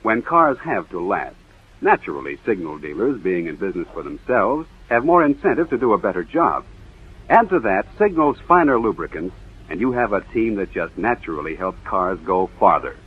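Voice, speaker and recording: American male announcer, 1940s radio ad pitch; lowish voice, very animated intonation, muffled vintage recording. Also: moderate pace, breathy edge. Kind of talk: 1940s radio commercial read.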